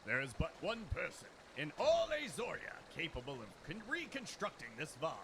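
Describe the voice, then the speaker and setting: A man voicing a pompous, boastful gentleman inspector in a video game, with grandiloquent, declamatory delivery. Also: a little high, wildly swooping pitch, moderate pace, a little hiss or hum. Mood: dramatic storytelling. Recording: loud music behind. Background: game music.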